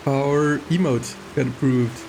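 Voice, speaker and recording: A man's voice: medium pitch, steady tone, slow pace, music in the background. A man chatting casually as a game stream host, rambling and hesitant, prone to giggling. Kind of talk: casual livestream chat.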